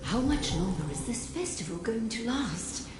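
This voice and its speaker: An actress playing an impatient, suspicious conspirator in a video game, with haughty delivery. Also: female voice, a little high, very animated, moderate pace. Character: impatient, suspicious female conspirator, echoing memory. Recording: echoing game dialogue over music.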